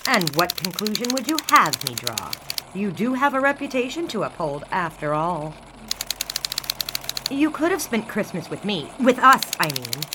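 A woman playing an arch 1920s office colleague with a soft spot for the man she needles, in an audio drama. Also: mid-range voice, big pitch swings, normal speed, natural voice. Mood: arch, teasing. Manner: arch, pointed, hesitant when sincere. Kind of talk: scripted audio drama dialogue. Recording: loud clacking noise behind voice.

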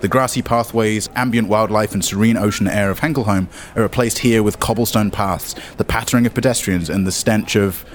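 A man's voice: fairly low pitch, steady tone, fairly quick, few pauses, a little hiss or hum. A man narrating as game master, mixing descriptive scene-setting with wry, joking asides.

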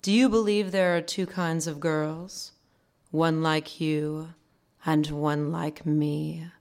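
A woman's voice: low voice, steady tone, takes it slowly, darkish tone. An actress playing a knowing, worldly woman who taunts a naive girl in a condescending, insinuating way, in a live radio drama. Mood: taunting.

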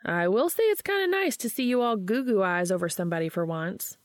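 A woman's voice: medium pitch, animated, fairly quick, no echo, clean studio sound, lightly acted. A woman voicing a young man, a wry, grudging but protective friend in a story.

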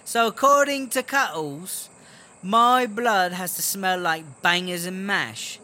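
Man playing a bright, brash, foul-mouthed English battle rapper. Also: high voice, takes it slowly, exaggerated voice. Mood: mock indignant. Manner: crude, sarcastic, slangy ranting. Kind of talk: comedy audio drama acting.

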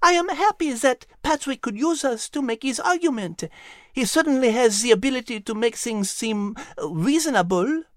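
A woman's voice: on the high side, animated, normal speed, no echo, clean studio sound, voice pushed up, lightly acted. A woman voicing an elegant, affectionate petite female cat in an audiobook. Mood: warm, admiring. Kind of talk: audiobook character voice.

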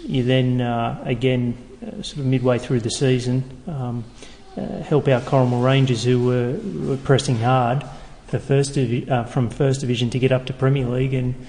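Flat-voiced male interviewer. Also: mid-range voice. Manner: halting, with many fillers. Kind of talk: oral history interview questions. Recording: long reverb, faint background noise.

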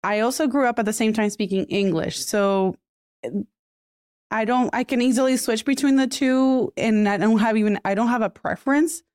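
A woman from Puerto Rico whose first language is Spanish, answering interview questions in a relaxed, thoughtful way with soft laughs. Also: on the high side, normal speed, clear, resonant tone, dry recording, clean studio sound. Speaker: podcast guest.

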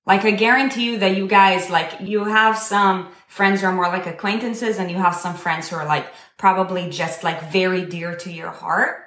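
A woman's voice: fairly low pitch, fairly even intonation, moderate pace, hardly any pauses, a bit bright. Emphatic young woman in an echoey room. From Israel. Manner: emphatic, conversational, poses questions to listeners. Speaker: speaker leading a community discussion. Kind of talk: casual motivational talk to a group.

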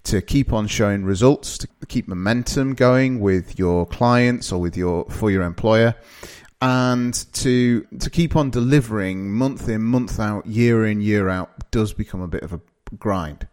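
A man's voice: fairly low pitch, measured pace, darkish tone, clean tone. Northern English man hosting a podcast. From northeast England. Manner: conversational, with frequent fillers and restarts. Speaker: podcast host. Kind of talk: solo podcast introduction.

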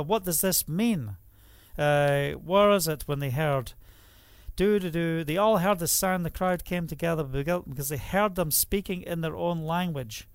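Scottish man preaching, higher voice, close dry sound, middle-aged, asks and answers his own questions. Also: no echo. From Scotland. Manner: reads scripture aloud then explains it. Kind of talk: sermon.

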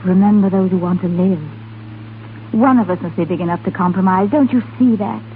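Casting: woman to play titled English lady in love, pleading, theatrical, mid-pitched, old radio drama with tinny sound. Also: brisk, lightly acted. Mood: urgent, pleading. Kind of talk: 1930s radio acting. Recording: tinny, noisy 1930s radio recording.

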